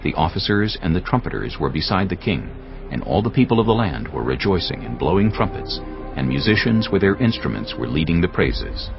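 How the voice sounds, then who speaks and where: A man narrating scripture at a measured, solemn pace. His voice is very deep yet moves lively through its range, and the sound is slightly dull with soft music underneath. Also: vocal fry.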